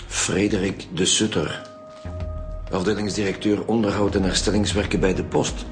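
A Flemish senior detective in a radio crime drama, with a fairly low voice. He is blunt, businesslike and quick to get irritated, with music underneath. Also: level tone. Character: irritable senior police detective leading a murder squad. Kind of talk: naturalistic radio drama acting.

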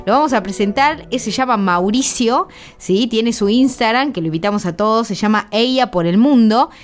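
A woman hosting a relaxed radio interview in the studio. She talks in a chatty, easygoing way, filling gaps with little hesitations. Soft music plays faintly under her voice. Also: on the high side, normal speed. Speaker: radio host.

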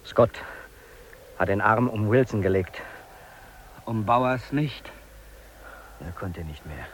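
An actor playing a grieving, reverent member of a polar rescue party, speaking in short, solemn, hushed declarations in a 1950s German radio drama. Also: male voice, lowish voice, expressive, slow. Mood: hushed grief. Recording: noisy old radio drama recording.

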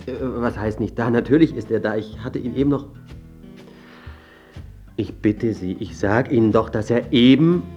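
An actor playing a pushy, self-important, scheming journalist who also narrates, by turns flustered, ingratiating and smug, in a radio drama. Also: male voice, medium pitch, moderate pace, pauses often, darkish tone, dull audio, soft background music, close to an everyday voice. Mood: flustered, insistent. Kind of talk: radio drama acting with first-person narration.

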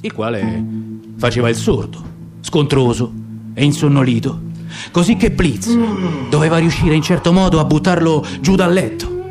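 A man's voice: fairly high pitch, moderate pace, music in the background. A man giving a dramatic, theatrical reading of literary prose as the narrator of a radio theatre piece. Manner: expressive, theatrical storytelling.

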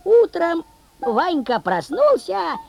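A woman playing a folksy fairy-tale storyteller, narrating theatrically in an expressive, singsong rustic manner. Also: extremely high pitch, slow pace, creaky, heavily acted. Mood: folksy storytelling. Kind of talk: theatrical folk-dialect storytelling. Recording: music behind the voice.

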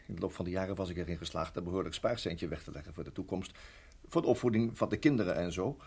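An actor in a radio play voicing a reflective, middle-aged married farmer who reminisces in a measured, halting way. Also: male voice, low voice, darkish tone, breathy edge. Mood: fond, reminiscing. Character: weary, reflective married farmer recalling his years in Rhodesia.

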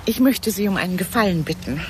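Eine Schauspielerin spielt in einem Hörspiel eine bedrückte, zurückhaltende Frau, die höflich und zögernd spricht. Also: female voice, mid-range voice, expressive, fast, lightly acted. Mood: guarded, hesitant. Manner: hesitant, reserved, polite. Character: troubled, guarded middle-class woman, evasive and distracted. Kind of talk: naturalistic German radio drama. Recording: clearly noisy scene ambience.

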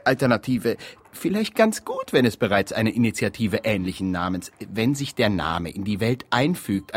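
An actor playing a zealous campaign strategist in a satirical radio drama, declaiming in slogans as if giving a presentation. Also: male voice, mid-range voice, slightly rough.

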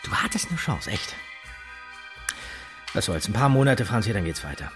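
A man's voice: lowish voice, sing-song, moderate pace, fairly bright tone, soft background music. A man acting as a laid-back, loyal young mate in a radio drama, talking bluntly in casual slang. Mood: encouraging, casual.

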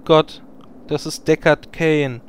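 A man's voice: on the high side, steady tone, takes it slowly, slight background noise. A man playing a jaded, sarcastic gamer hero in a parody audio drama, grumbling wearily. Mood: exasperated, sarcastic. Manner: weary, sardonic grumbling.

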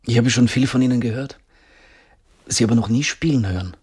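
An actor playing a polite, wistful 18th-century violinist in a radio drama, soft-spoken. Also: male voice, mid-range voice, brisk, slightly rough, no room sound, clean studio sound.

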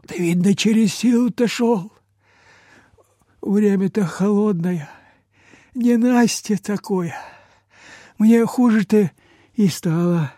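An actor playing a sick, humble old peasant man begging in a weak, breathy, folksy voice in a radio play. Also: sits high, dark tone, no room sound, clean studio sound. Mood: weary, plaintive. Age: older. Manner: slow, pleading, folksy rural speech. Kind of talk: Soviet radio play acting.

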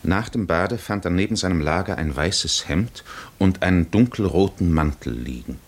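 An actor as the solemn storyteller of a 1950s radio drama, narrating a literary tale in a measured, deliberate way. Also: male voice, low voice, animated.

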